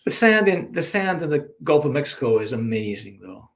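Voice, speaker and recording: A man's voice: mid-range voice, very animated, normal speed, gravelly, crowd noise. A man from Iceland, a native Icelandic speaker, talking casually in English as an interview guest. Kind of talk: casual chat.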